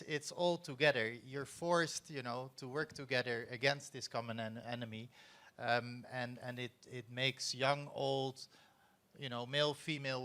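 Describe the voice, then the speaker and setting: Dutch-accented middle-aged man, unhurried panel speaker, explanatory, lots of ums. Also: mid-range voice, bright, forceful tone. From Netherlands. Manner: measured, explanatory, with many fillers. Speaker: panelist, former corporate CEO. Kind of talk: panel discussion answer.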